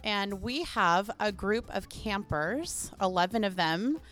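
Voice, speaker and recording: A woman's voice: mid-range voice, expressive, slow, a bit bright. A woman leading a camp group, introducing them in a friendly, organized way. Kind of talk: casual introduction.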